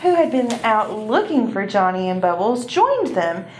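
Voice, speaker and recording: A woman's voice: medium pitch, big pitch swings, moderate pace, darkish tone, slight background noise. A woman narrating a children's puppet show in a storytelling style. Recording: roomy echo with music behind.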